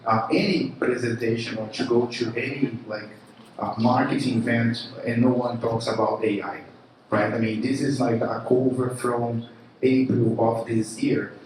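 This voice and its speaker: A man with a Brazilian Portuguese accent gives a keynote at a live event, casual and animated, stumbling over fillers and restarting sentences. His mid-pitched voice is picked up from a distance and rings around a very echoey room, with faint noise underneath. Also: measured pace. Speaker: conference speaker, marketing chief. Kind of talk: keynote presentation.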